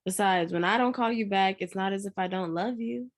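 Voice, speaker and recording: A woman plays a grown daughter in a drama script read. She speaks casually and naturally at a middle pitch, with a teasing streak and a defensive, easily exasperated temper. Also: fairly quick, natural voice. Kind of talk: live screenplay table read.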